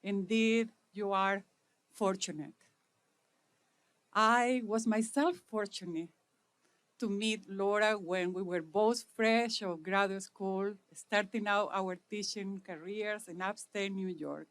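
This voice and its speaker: A middle-aged woman from Chile with a Chilean Spanish accent, delivering a measured formal speech at an inauguration; Spanish is her first language. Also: medium pitch, a bit bright. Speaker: speaker at an inauguration.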